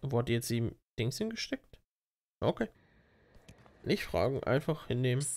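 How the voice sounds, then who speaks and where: A man's voice: medium pitch, sing-song, normal speed, a little mellow. A German man giving casual, teasing gameplay commentary, often talking to the character on screen. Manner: teasing, talks to the game character. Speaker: let's player.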